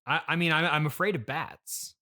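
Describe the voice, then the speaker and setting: A man's voice: fairly high pitch, normal speed, pushed and bright. A man hosting an improvised comedy conversation, speaking in an awkward, hesitant but earnestly reasoning way. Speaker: podcast host playing himself.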